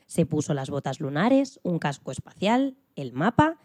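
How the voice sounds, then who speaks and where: A woman playing an excitable farm hen astronaut who tells her own story with playful dramatic emphasis in a children's radio play. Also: low-pitched, moderate pace, no echo, clean studio sound. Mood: excited, dramatic. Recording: music behind the voice.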